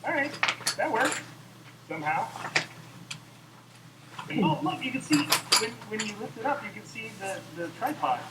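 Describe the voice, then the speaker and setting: A man voicing an excitable, whiny sock puppet host in flustered, improvised comic banter. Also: very high voice, moderate pace, long pauses. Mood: excited, flustered. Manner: flustered, exclaiming, swooping. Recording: roomy echo, distant mic, faint noise.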